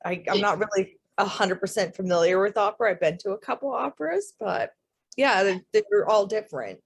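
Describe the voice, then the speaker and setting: A woman's voice: mid-range voice, brisk, gravelly, slightly rough. A woman in an online meeting asking questions as an audience member, chatting casually in a hesitant, rambling way. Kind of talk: casual chat.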